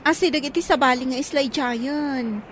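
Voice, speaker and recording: A woman's voice: high-pitched, moderate pace, a little hiss or hum, natural voice. A woman with an Ilocano accent playing an anxious, agitated woman full of questions in a radio drama. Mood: startled, anxious. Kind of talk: Filipino radio drama acting.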